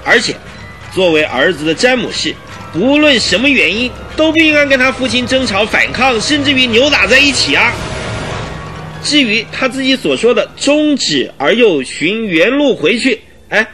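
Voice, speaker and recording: High-pitched, agitated police chief. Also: male voice, big pitch swings, fairly quick, bright, forceful tone, faint music behind. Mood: indignant. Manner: emphatic, exclamatory, argumentative. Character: agitated male police detective chief, indignant and stubborn. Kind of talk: Mandarin radio drama acting.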